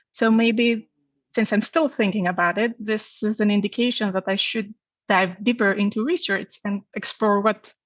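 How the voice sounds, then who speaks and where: A woman's voice: a little high, no echo. Greek-accented woman, dry slightly dull audio. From Greece. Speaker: podcast guest, academic researcher. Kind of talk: conversational personal storytelling in an interview.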